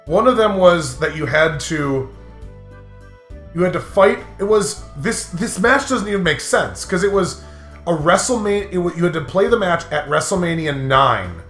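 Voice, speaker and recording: A man's voice: high-pitched, lively intonation, moderate pace, fairly bright tone. A man casually bantering and telling gaming stories in an emphatic, exasperated way. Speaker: host of a gaming commentary video. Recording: roomy sound with music behind.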